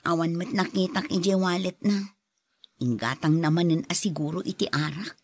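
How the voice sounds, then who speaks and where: A woman's voice: lowish voice, steady tone, moderate pace, husky, no echo. An actress playing a worn-down young wife and mother who pleads, in a Filipino radio drama with a Filipino accent. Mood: weary, pleading.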